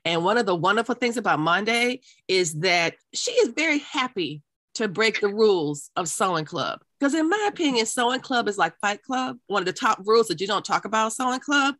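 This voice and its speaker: A woman's voice: mid-range voice, expressive, brisk, fairly bright tone, no room sound. A woman hosting a sewing podcast interview, playful and exclamatory. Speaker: podcast host.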